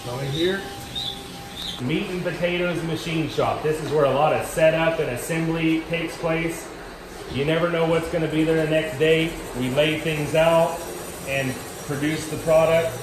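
A man's voice: fairly high pitch, steady tone, moderate pace. A man in his thirties leading a group through a large indoor machine shop, explaining each station as he walks, recorded on a camera a few feet away. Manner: explanatory, points things out to a group. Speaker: shop tour guide. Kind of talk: walking shop tour explanation. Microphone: handheld camera from a few feet away. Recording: echoey shop with loud machine and air noise. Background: machinery and ventilation hum.

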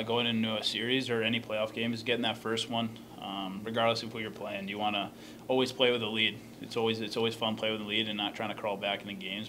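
Young guy hockey player at a press table, talks fast and kinda flat, noisy room. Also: on the low side. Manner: quick, even, matter-of-fact answers with many fillers. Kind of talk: postgame press answers. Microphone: table microphone.

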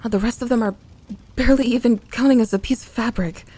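Breathy, mellow tsundere tomboy played by a woman. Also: a little high, quick pace, close to an everyday voice. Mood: flustered, defensive. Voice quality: soft, breathy. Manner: grumbling, flustered, sighing. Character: young tsundere tomboy best friend, grumpy but secretly affectionate. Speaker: audio roleplay voice performer. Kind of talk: intimate ASMR audio roleplay, often dropping to a whisper.

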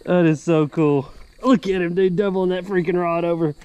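Young man, high voice, fishing from a kayak outdoors, excitable, faint background noise. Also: moderate pace, highs cut off. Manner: excitable, exclaims and laughs often. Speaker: fishing vlogger.

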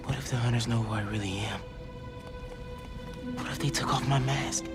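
A man's voice: medium pitch, fairly even intonation, moderate pace, music in the background, close to an everyday voice. A young actor playing an earnest, anxious male superhero in a video game, thinking aloud. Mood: worried.

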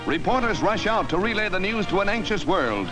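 A man's voice: high-pitched, big pitch swings, brisk. A man narrating a 1940s newsreel in an exuberant, dramatic announcer's delivery. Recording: old newsreel soundtrack with music.

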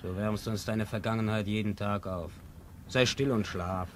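An impatient grandson, voiced by a man in an old radio play, curt and dismissive. His voice sits a little low. Faint hiss from the aged tape sits under him. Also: fairly even intonation, moderate pace, natural voice. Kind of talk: 1950s radio play acting.

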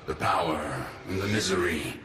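An actor playing a stern, grave old warrior mentor in a video game, speaking in solemn, cryptic, foreboding lines. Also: male voice, low voice, moderate pace, lightly acted. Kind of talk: scripted video game dialogue. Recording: echoey, distant, with noisy ambience.

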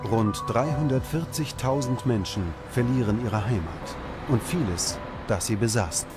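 A man reading scripted documentary narration in a measured, dramatic manner. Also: medium pitch. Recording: music behind the voice. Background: background music.

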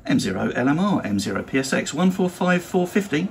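A man's voice: fairly high pitch, big pitch swings, brisk, gravelly, a little hiss or hum. A British man giving an instructional demonstration as an amateur radio operator and trainer. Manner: spells call signs in phonetic alphabet.

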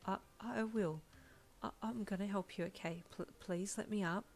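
A woman voicing a frightened but caring female doctor in an audio story, speaking haltingly and pleadingly. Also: fairly low pitch, steady tone, darkish tone, soft background music, natural voice. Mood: nervous, hesitant. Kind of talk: acted dialogue in an audio story.

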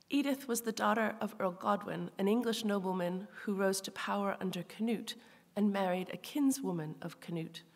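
A woman reading an academic lecture in a measured, scholarly way, with occasional asides to the audience. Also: mid-range voice, slightly rough, big-room sound. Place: large lecture hall.

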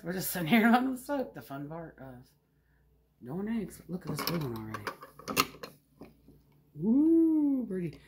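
A middle-aged woman with a twang and a low, gravelly voice that swings up and down a lot. She chats in a folksy way to her viewers while she works in a small home kitchen. The phone recording carries a clear hiss underneath. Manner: chatty, folksy, talks to viewers while cooking. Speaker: vlogger. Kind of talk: casual home vlog narration. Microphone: handheld phone camera.

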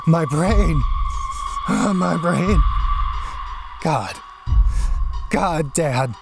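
A man's voice: fairly high pitch, lively intonation, drawn-out words, vocal fry, loud music behind the voice, pitched above the performer's own voice, full character voice. A man voicing a terror-stricken madman in a horror audiobook, raving in broken, repeated phrases. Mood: frenzied terror. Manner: feverish, repetitive, broken phrases.

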